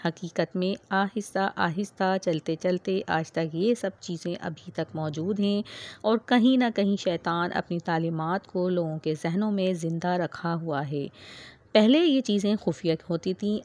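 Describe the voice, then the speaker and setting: A woman's voice: on the low side, darkish tone. A woman narrating a vlog voice-over in an earnest, preachy storytelling manner, reading steadily with religious warnings. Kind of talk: read-aloud voice-over narration.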